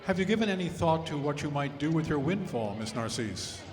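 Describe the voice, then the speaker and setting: An actor playing a courteous, earnest Edwardian minister in an old-time radio drama, speaking formally and with measured care. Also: male voice, on the high side, lively intonation, a little hiss or hum, lightly acted. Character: courteous, gently earnest 1900s minister and devoted husband. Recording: echoey hall with audience sounds.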